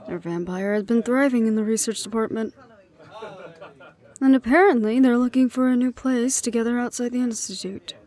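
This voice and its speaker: A woman playing a composed, dutiful middle-aged leader, speaking in a measured, reflective way in an audio roleplay. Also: fairly high pitch, lightly acted. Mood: fond, proud. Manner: measured, reflective, quietly firm.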